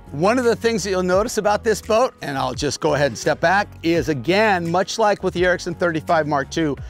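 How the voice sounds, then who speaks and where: A middle-aged man giving a casual, enthusiastic walkthrough tour of a sailboat in a marina. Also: on the high side. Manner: enthusiastic, talks while pointing and moving. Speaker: boat tour host. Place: aboard a sailboat in a marina, on deck and below.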